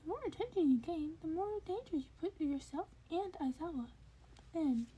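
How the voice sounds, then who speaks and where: Woman voicing a high-pitched, inquisitive little animal principal. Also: expressive, normal speed, mellow. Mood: firm, precise. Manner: polite, formal, inquisitive. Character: small furry animal school principal, giddily curious, confident, probing.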